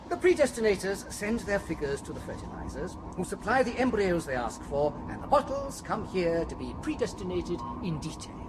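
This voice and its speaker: A pompous, patronizing director of a breeding factory lectures his students in a radio play. His delivery swings freely up and down in pitch, and a steady noise sits under the recording. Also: moderate pace, hardly any pauses. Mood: lecturing. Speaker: hatchery director. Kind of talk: 1990s radio drama acting.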